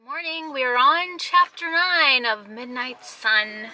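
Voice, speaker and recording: High-pitched woman chatting, unhurried, over music. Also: expressive, pushed and bright, low-quality recording. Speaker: family vlog host. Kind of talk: casual travel vlog narration. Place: inside a car and outdoors.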